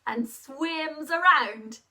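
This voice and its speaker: A woman telling a story to children in an expressive, interactive way, asking questions and encouraging them to act along. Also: sits high, very slow, distant mic, noisy background.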